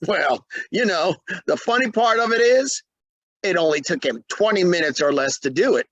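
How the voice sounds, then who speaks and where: A man's voice: high-pitched, animated, moderate pace, fairly bright tone, no room sound, dull audio. A man acting a genial family friend with salesman-like patter in an old-time radio comedy. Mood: salesmanlike.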